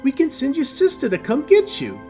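A man voicing an anxious, excitable New York mother fussing over her grown son in an audiobook story. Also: very high-pitched, normal speed, faint music behind, pitched above the performer's own voice, exaggerated voice. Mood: worried, insistent. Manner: excitable, insistent.

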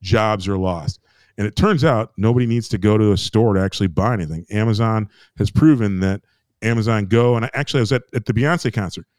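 A man's voice: on the low side, fairly quick, darkish tone, no echo. An American man co-hosting a podcast, ranting in casual chat, emphatic and repetitive, with restarts and swearing. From United States. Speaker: podcast co-host.

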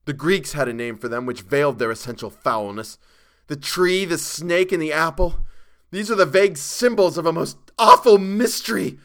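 Horror story role: terrified, unhinged man acted by a male reader, hysterical and drawn-out, from shaken gasps to screams. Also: medium pitch, steady tone, fairly bright tone, close to an everyday voice. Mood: haunted, intense. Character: terrified, half-mad man raving about cosmic horrors. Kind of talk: dramatic horror audiobook character reading.

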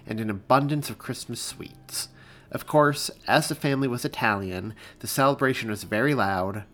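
A man hosting a podcast and reading his own story as expressive, deliberate narration. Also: medium pitch. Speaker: podcast host and author reading. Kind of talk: scripted narration, audiobook-style storytelling.

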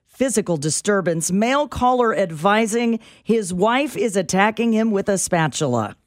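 A woman's voice: mid-range voice, expressive, normal speed, no echo, clean studio sound. A woman co-hosting radio, giving comic mock-official scanner reports with sassy banter. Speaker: radio co-host. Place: radio studio.

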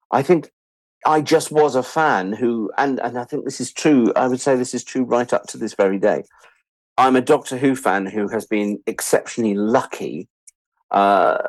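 A man's voice: medium pitch, a little mellow, no room sound, poor audio. A British man in his sixties telling anecdotes as an interview guest in a chatty, self-deprecating way, acting out bits of dialogue. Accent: British English. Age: 60s. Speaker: interview guest, writer-producer. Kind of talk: animated anecdotal storytelling in an interview.

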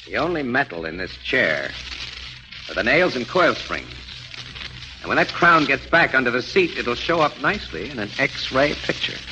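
An actor playing a shrewd, wisecracking crime photographer in a 1940s radio drama, with a wry, confident manner. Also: male voice, medium pitch, very animated, normal speed, close to an everyday voice. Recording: faintly noisy old 1940s radio recording.

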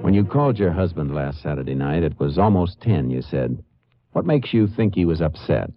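Dogged American Texas Ranger: low, measured, probing; 1950s western radio sound, dulled with age. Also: male voice, lively intonation, dry recording. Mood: determined. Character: calm, dogged Texas Ranger investigating a murder. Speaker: lead actor and narrator. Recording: muffled old radio broadcast, music.

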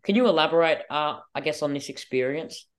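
A man's voice: fairly high pitch, fairly quick. A man hosting an interview podcast, asking eager, upbeat questions. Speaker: podcast host.

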